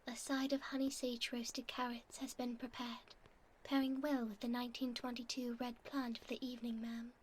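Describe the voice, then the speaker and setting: A woman's voice: on the high side, little pitch movement, moderate pace, a little hiss or hum, close to an everyday voice. A woman playing a formal, deferential servant to a noble vampire in a scripted ASMR roleplay. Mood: dutiful. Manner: formal, dutiful, restrained.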